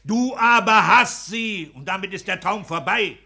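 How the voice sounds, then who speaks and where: Forceful, husky, pompous petty official. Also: male voice, high-pitched, unhurried, exaggerated voice. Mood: indignant ranting. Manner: ranting, pedantic, self-pitying, declamatory. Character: petty, pompous, tyrannical civil servant husband, indignant. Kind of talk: 1960s German radio play acting.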